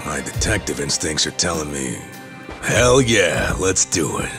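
An actor playing a bold, gruff older detective in a dramatic video game. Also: male voice, extremely low pitch, big pitch swings, a little slow, music in the background, exaggerated voice. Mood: resolute.

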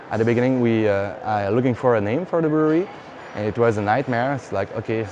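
A man in his thirties with a Quebec French accent, speaking English as a second language in halting sentences full of fillers, interviewed as a brewery founder. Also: medium pitch, a little mellow, dull audio. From Quebec, Canada. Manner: halting, many fillers and restarts. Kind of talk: on-camera interview answer. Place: indoor beer festival hall. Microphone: lapel mic. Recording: hall noise behind.

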